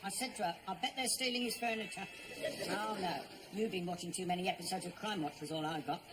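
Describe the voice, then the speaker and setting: An actor playing a grumpy, sarcastic husband who grumbles at the football on TV. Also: male voice, high voice, fast, lightly acted. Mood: grumbling. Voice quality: grumbling, sarcastic, shouts at the telly. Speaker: neighbour watching football on TV. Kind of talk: sitcom acting. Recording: noisy broadcast sound.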